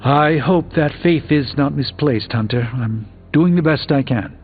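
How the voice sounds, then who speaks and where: A man's voice: on the high side, a little slow, lightly acted. Video game sorcerer superhero, male actor playing a middle-aged man, articulate and drily wry, formal scholar; thin phone-like sound over music. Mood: weary, sincere. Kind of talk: video game character dialogue.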